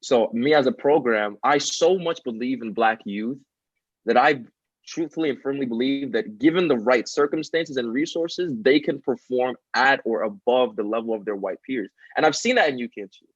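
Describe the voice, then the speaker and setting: A man's voice: mid-range voice, moderate pace, no room sound. Earnest twenty-year-old man, dry lo-fi sound. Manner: earnest, heartfelt, frequent "you know" and "um". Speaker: podcast guest, student nonprofit founder. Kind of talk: casual conversational interview.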